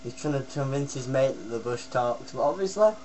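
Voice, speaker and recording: A man's voice: medium pitch, animated, a little fast, darkish tone. A young man with a British accent giving casual running commentary as a prankster. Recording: noisy amateur recording with mains hum.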